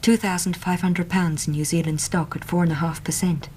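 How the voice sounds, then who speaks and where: An actress plays a young Victorian working woman in an English radio drama. Her voice sits fairly low, and she talks in an earnest, chatty, plain-spoken way. Faint noise lies behind her. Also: steady tone, a little fast, mellow, lightly acted. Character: earnest, talkative young female Victorian typist seeking help.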